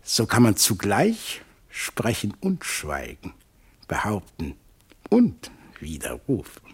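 A man reciting a scripted literary line with a raspy voice. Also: fairly low pitch, huge pitch swings, slow pace.

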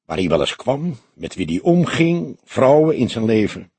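A man voicing a calm, wry old police detective in a measured, dignified audiobook delivery. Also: medium pitch, husky, dry recording. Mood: probing. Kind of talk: audiobook character dialogue.

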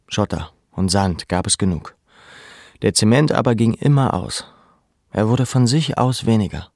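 A man reading scripted literary prose as a narrator, in a measured, deliberate, sombre manner. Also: lowish voice, creaky, a little mellow, no echo, studio quality.

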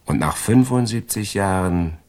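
An actor playing a loyal, philosophizing crook in a German radio crime drama, with a husky, expansive way of talking. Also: male voice, deep voice, animated, a little slow, a little mellow. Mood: reflective. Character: loyal, philosophizing male crook, the caring accomplice.

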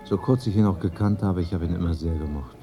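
A cultivated medical writer in a radio play. His voice sits on the low side, conversational and articulate, always inquiring, with music clearly underneath. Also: fast. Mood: reflective. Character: educated medical author, curious, questioning old school friend. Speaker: actor in a radio drama. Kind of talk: 1980s German radio play dialogue.

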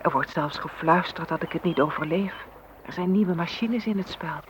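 A man's voice: a little high, normal speed, muffled recording, close to an everyday voice. A man playing a wistful, anxious flowing stream in a poetic radio play. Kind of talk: Dutch radio play acting. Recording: noisy old radio play recording.

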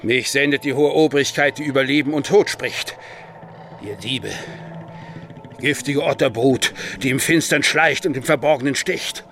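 An actor playing a pompous, scolding priest in a radio play, haughty and declamatory. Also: male voice, mid-range voice, normal speed, fairly bright tone, a little hiss or hum, lightly acted. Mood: haughty denunciation.

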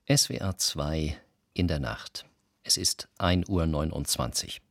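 Deep, gravelly male radio announcer. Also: a little slow, no room sound, studio quality. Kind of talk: scripted programme announcement. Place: dry radio studio.

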